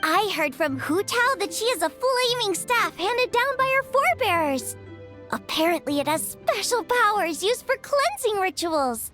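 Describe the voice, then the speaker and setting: An extremely high, childlike little fairy companion from a video game. The squeaky voice swings animatedly with cheeky, playful excitement. Music plays clearly behind it. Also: female voice, unhurried, heavily acted. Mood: curious, chatty. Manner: exclamatory, expressive, playful.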